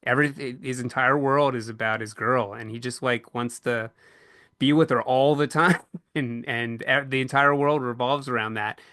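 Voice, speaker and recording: A man hosts a casual podcast panel with a bright, forward voice and a very dry, close sound. He speaks reflectively and conversationally as he moderates the discussion. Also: mid-range voice, steady tone, normal speed, no room sound.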